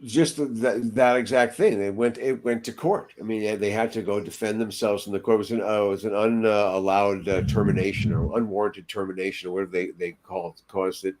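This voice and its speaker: An older man casually recalling anecdotes as a podcast co-host, in halting, piecemeal phrases, over a video call from home. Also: lowish voice, fairly even intonation, darkish tone, low-quality recording.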